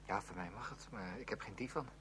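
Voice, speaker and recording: A man's voice: fairly low pitch, a little fast, bright, forceful tone. An actor playing a young man, a sceptical but loyal friend, in conversational Dutch radio play style with a questioning manner. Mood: surprised, supportive. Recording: noisy old radio drama recording.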